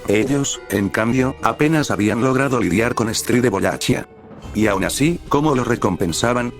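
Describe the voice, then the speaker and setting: A young man reading fanfiction aloud in a steady, even narrating style. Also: mid-range voice, moderate pace, faint music behind. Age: young adult. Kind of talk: audiobook-style story narration.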